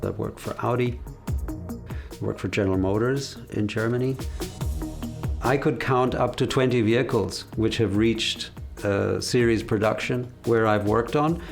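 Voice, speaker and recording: A man in his fifties speaking in a measured, reflective way with frequent hesitations, giving a documentary interview about his career as a car designer. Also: lowish voice, vocal fry, faint music behind. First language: English.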